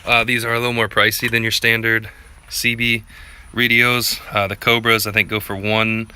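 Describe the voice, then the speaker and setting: A man's voice: fairly low pitch, little pitch movement, moderate pace, bright, forceful tone, clear, resonant tone. A man giving a casual, unscripted how-to walkthrough in a plainspoken, laid-back way, as a vlogger would. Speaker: vlogger presenting a DIY gear tutorial.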